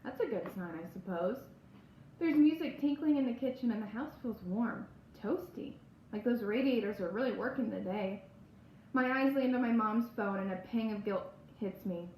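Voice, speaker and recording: A woman reads a spooky first-person children's novel aloud in a dramatic, expressive storytelling manner. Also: on the high side, normal speed. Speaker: audiobook-style reader. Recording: roomy echo, faint background noise.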